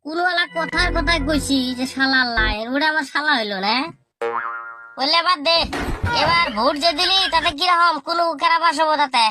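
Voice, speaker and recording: Cheeky cartoon schoolkid played by a performer, high voice, mocking and argumentative, music underneath. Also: a little fast, lightly acted. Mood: scornful, bickering. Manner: bickering, mocking, rustic slangy talk. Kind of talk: comedy cartoon dialogue in colloquial Bangla.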